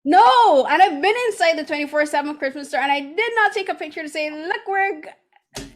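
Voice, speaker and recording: Woman, podcast host, lively rambling chat, fairly high voice. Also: a little fast. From Barbados. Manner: animated, rambling with many fillers and restarts. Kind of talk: casual chat about a TV drama.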